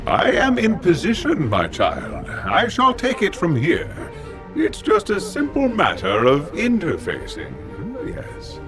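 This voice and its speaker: An actor playing an eccentric, fatherly old scientist in a video game, with a creaky voice and halting, solemn delivery. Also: sits high, huge pitch swings, music in the background, full character voice. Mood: sly, deliberate. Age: older. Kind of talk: scripted video game cutscene acting.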